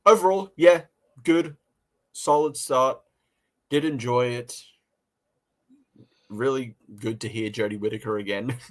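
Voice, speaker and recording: A man from Australia co-hosting a casual review chat, talking in a halting way and often repeating words. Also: medium pitch. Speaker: podcast co-host and reviewer.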